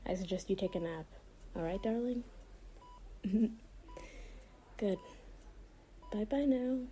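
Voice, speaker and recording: A woman playing a doctor with a split persona, tender yet menacing, in a soft, hushed ASMR roleplay with a condescendingly sweet manner. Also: medium pitch, a little hiss or hum, natural voice, low-quality recording. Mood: playful, affectionate. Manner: slow, hushed, breathy delivery, condescendingly sweet. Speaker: voice performer.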